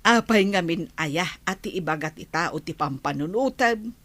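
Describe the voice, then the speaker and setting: A woman's voice: lowish voice, lively intonation, normal speed, a bit bright, dry recording. A woman with a Filipino accent playing a village mother advising her grown daughter in an Ilocano radio comedy drama. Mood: coaxing.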